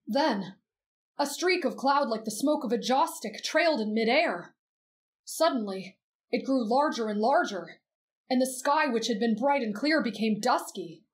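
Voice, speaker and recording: A woman voicing a gentle teenage boy who reads in a soft, measured, expressive storybook way for roleplay ASMR. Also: fairly high pitch. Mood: measured storytelling.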